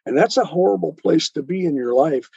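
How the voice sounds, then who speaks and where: A man with a slightly high, husky voice speaks as an interview guest. He explains things earnestly and conversationally, often posing rhetorical questions. The sound is dull and lo-fi. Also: expressive, brisk, a little mellow, no echo. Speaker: guest author. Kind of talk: conversational interview talk on life choices.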